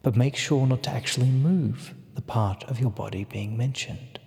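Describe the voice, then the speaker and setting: A man narrating a guided relaxation in a hushed, soothing, measured way, giving gentle instructions with breathy phrase endings. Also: mid-range voice. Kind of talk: slow guided relaxation script. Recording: long reverb on the voice.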